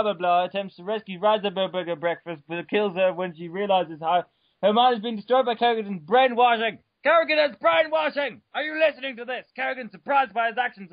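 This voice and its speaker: Guy doing a fake dramatic reading, fast, stumbles over names and goes blah blah, kinda muffled dry lo-fi mic. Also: high voice, no echo. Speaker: reader. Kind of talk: mock-dramatic reading of a wiki article.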